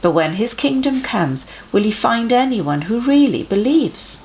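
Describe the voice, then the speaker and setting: Woman reading a kids story out loud, really lively up and down voice, lots of emphasis. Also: on the high side, measured pace. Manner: animated, dramatic emphasis for children. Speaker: children's story reader for a church.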